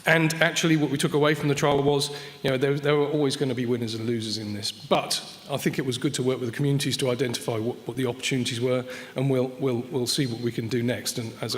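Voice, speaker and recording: A man presents at a conference in a mid-pitched voice. He speaks haltingly, often doubling back on words as he explains his slides. The sound carries the long reverb of a large hall. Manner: hesitant, repeats and restarts words. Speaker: conference speaker from an air traffic control organisation.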